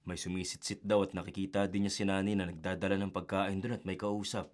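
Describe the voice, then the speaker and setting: An actor playing a calm father who brushes off his son's fears in a radio drama. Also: low-pitched, fast talker, lightly acted. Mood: explaining. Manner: calm, dismissive.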